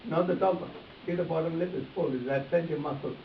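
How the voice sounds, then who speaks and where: A man with a slightly high voice leads a live hands-on demonstration, speaking directly to volunteers and repeating his points. He is picked up from a distance in an echoey room, with a dull, faintly hissy sound. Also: fast. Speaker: face reading teacher.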